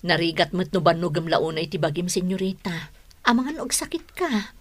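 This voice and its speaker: A woman's voice: fairly low pitch, normal speed, close to an everyday voice. An actress playing a caring, motherly household helper in a radio drama. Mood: caring, concerned.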